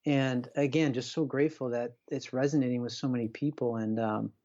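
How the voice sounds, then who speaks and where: Kinda dull lo-fi audio, guy guesting on a podcast, casual, halting, effusive, mid pitch. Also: steady tone, dark tone. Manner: effusively grateful, informal, halting. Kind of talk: casual conversation.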